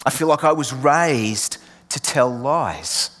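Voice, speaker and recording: A man's voice: medium pitch, takes it slowly, fairly bright tone. A man with an Australian accent, born in England, preaching a sermon with emphatic repeated refrains that sometimes drop to a near whisper. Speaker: pastor. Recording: long hall reverb.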